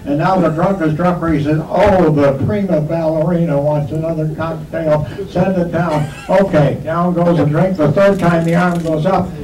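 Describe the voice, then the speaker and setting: A man's voice: fairly high pitch, steady tone, normal speed, hardly any pauses. A man in his seventies from Western New York telling jokes at a banquet, laughing through his punchlines. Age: 70s. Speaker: retiring sports broadcaster giving a banquet speech. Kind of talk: after-dinner joke telling. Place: banquet hall. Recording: echoey hall from far off, loud crowd and noise.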